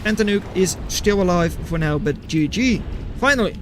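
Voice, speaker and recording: A man's voice: high voice, animated, normal speed, noisy background. A man casting a strategy game replay live and chatting with viewers, matter-of-fact and dry in his remarks. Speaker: game caster, streamer.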